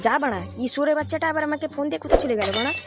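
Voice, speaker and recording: Someone playing an angry, fast-talking cartoon dad. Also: high-pitched, very noisy. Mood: cursing. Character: angry, cursing, suspicious cartoon father scolding his son. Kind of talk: comedy cartoon voice acting.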